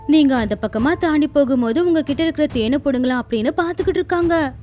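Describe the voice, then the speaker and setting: A sly, teasing cartoon nanny goat, voiced by a woman. The voice is very high and full of cheek and cunning, with music playing behind it. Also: fast talker, mellow, lightly acted. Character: mischievous, cunning, taunting female goat. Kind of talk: animated animal fable dialogue.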